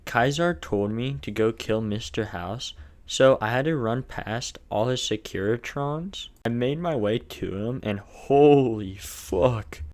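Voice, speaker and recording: A man's voice: medium pitch, slow pace, a little mellow. A man with a lisp narrating a video game challenge run in a casual, crude, jokey way.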